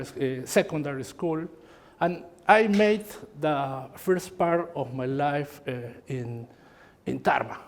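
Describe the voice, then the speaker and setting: Peruvian guy w spanish accent giving a talk, slightly high voice, lots of restarts, echoey hall. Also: a little mellow. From Peru. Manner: halting, repeats words, friendly asides. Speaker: guest lecturer. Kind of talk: university lecture. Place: lecture hall. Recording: hall reverb.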